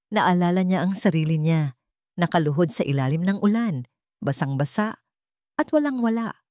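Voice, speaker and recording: Lower-pitched woman narrating a story. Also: darkish tone, no echo, studio quality. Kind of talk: scripted dramatic storytelling narration.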